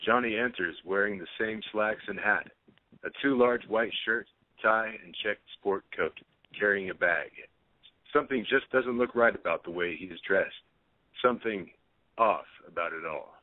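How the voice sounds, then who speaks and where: Low-voiced man narrating on a narrow line. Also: moderate pace, no room sound. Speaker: narrator reading stage directions. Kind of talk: scripted narration.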